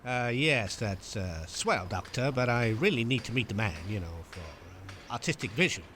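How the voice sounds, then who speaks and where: An actor playing a theatrical, self-important 1940s Hollywood film director in an audio drama, with a breezy, wry manner. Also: male voice, medium pitch, lively intonation, moderate pace, a bit bright, slight background noise, natural voice. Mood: distracted, insistent.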